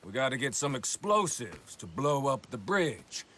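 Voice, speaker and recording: A man's voice: medium pitch, big pitch swings, a little slow, fairly bright tone. A man playing a smug, scheming American outlaw in a Western video game, with a taunting, self-important manner. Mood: insistent. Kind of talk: dramatic video game acting. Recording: game audio with faint background noise.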